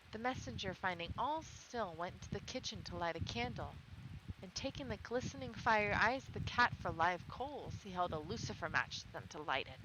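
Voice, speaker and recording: A woman who hosts a stream, reading storybook tales aloud and speaking casually to listeners. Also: fairly low pitch. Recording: clearly noisy background with clicks.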